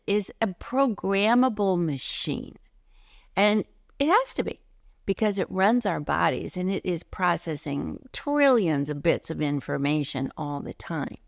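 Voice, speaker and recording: A middle-aged woman, a spiritual teacher, speaking in an earnest teaching talk, a little hoarse while recovering from illness, slowing down to stress words. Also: mid-range voice, no echo, studio quality. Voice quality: froggy, gravelly.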